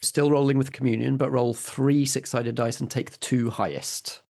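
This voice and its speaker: A man's voice: mid-range voice, steady tone, moderate pace, gravelly, mellow. Man running a tabletop game. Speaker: game master. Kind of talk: narrating and refereeing a tabletop game.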